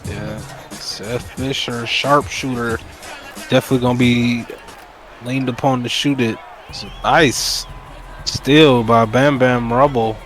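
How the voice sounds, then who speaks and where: A man's voice: medium pitch, fairly even intonation, slow pace, a bit bright, faint music behind, a faint crowd. A man giving color commentary on a simulated basketball stream in a laid-back way, breaking down players.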